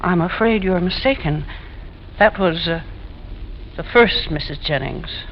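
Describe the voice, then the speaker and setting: A woman's voice: on the low side, a little slow, natural voice. An actress playing a stubborn, uneasy widowed landlady, folksy and forthright, in an old-time radio suspense play. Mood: uneasy, frightened. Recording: muffled, noisy vintage radio recording.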